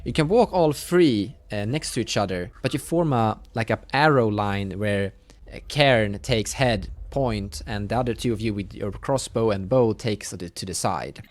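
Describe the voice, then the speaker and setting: A man acting as game master, improvising narration for a tabletop horror roleplaying game in a halting way. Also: on the low side, dry recording.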